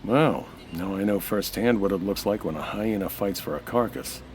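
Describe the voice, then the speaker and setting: An actor playing a wry, hardboiled American nightclub owner, dry and wisecracking, in the manner of old radio noir. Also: male voice, on the low side, moderate pace, very noisy, lightly acted. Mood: dry, probing. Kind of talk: old-time radio noir narration and dialogue.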